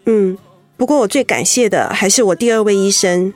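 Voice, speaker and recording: A woman's voice: medium pitch, brisk, darkish tone, soft background music, close to an everyday voice. An actress playing a young married woman, a composed but anxious patient, in a radio drama. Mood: reflective. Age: young adult.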